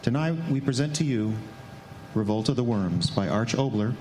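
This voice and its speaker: A man's voice: mid-range voice, expressive, normal speed, darkish tone. A man reading a scripted introduction to a radio play as a stage announcer. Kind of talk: scripted narration. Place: stage before a live audience. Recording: echoey live hall, faint noise.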